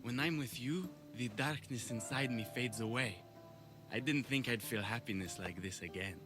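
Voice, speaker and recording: A man's voice: mid-range voice, normal speed, music in the background, close to an everyday voice. Game drama role man acting: traumatized Greek veteran, medium voice, earnest and exposed, orchestral backing. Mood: tender gratitude. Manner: earnest, vulnerable, confessional. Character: haunted, troubled Greek war veteran, gentle and grateful.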